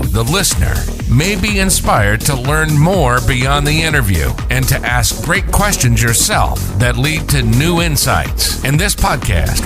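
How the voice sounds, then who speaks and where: A man reading a scripted show intro in a lively announcing manner. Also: fairly high pitch. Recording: voice over loud music.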